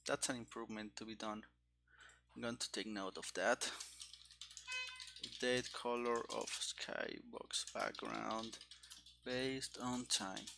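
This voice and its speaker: Guy live coding, bright voice, slow halting talk, kinda thinking out loud. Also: on the low side, steady tone, vocal fry, a little hiss or hum. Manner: thinking aloud in short, halting phrases. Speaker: programmer streaming game development. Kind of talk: casual live coding commentary.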